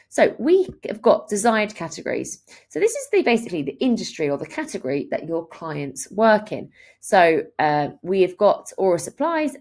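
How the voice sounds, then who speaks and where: A woman in her thirties giving an explanatory, step-by-step software walkthrough as a tutorial presenter. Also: fairly low pitch, creaky. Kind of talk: instructional screen-share walkthrough.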